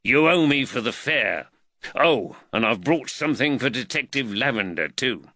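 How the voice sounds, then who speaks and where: A man voicing a hearty, calm working-class constable in an audiobook, colloquial and blunt. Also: mid-range voice, no room sound, studio quality, voice pushed up. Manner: colloquial, unhurried, plain-spoken. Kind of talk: audiobook character dialogue.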